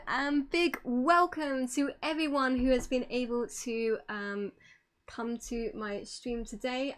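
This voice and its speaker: A woman giving an informal online presentation as a streamer, polite and apologetic in manner. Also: sits high.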